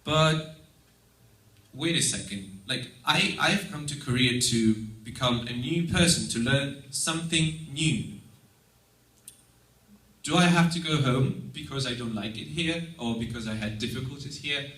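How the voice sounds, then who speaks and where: A man's voice: medium pitch, fairly bright tone. Young guy w russian accent giving a talk, earnest, kinda far from the mic in a big echoey room. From Estonia. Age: young adult. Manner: earnest, measured, with occasional restarts. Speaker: exchange student giving a presentation. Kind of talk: prepared public talk. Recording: roomy sound, mic at a distance.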